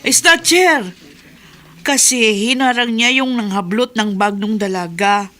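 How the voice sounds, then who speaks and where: An actress playing a nosy, gossipy wife in a radio drama. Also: female voice, fairly high pitch, slow pace, a bit bright, faint music behind.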